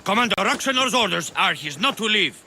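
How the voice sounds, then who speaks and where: An actor playing a menacing Greek soldier thug in a video game, gravelly and sharp, with a curt, mocking manner. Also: male voice, sits high, expressive, brisk, slight background noise, heavily acted. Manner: taunting, curt.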